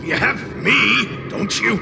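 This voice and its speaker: A man voicing a brash, protective spirit creature in a game cutscene, gravelly, blustering and scolding. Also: sits high, slow pace, noisy background, heavily acted. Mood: insistent. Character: gruff, brash spirit tail, hot-tempered but protective. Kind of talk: dramatic game cutscene voice acting. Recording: loud background music. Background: loud music.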